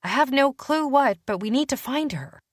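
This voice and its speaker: A woman voicing a spirited retired female detective who talks in quick, excited, insistent bursts. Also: sits high, no room sound, studio quality, pitched above the performer's own voice. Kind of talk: audiobook character dialogue.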